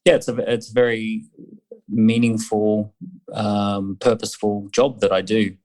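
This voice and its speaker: A man's voice: fairly low pitch, level tone, a little slow, darkish tone. A man running an accelerator, talking earnestly with many fillers and restarts. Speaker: accelerator founder. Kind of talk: conversational interview.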